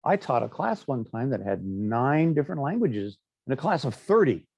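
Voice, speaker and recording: A man's voice: mid-range voice, big pitch swings, moderate pace, vocal fry, darkish tone, no echo. A middle-aged man teaching a class in a chatty, anecdotal way, with frequent fillers and asides. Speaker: instructor. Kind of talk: classroom lecture.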